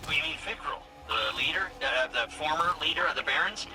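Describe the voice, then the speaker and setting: An actor playing an eager, rueful informant in a video game, talking in a hesitant, chatty, excitable way. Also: male voice, on the high side, a little fast, noisy background, natural voice. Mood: nervous. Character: eager, rueful male informant pleading his good faith. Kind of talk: video game dialogue acting.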